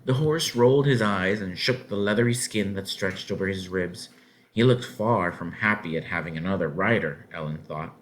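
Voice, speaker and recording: A man narrating a children's novel aloud in an expressive storybook style. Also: low voice, normal speed, poor audio.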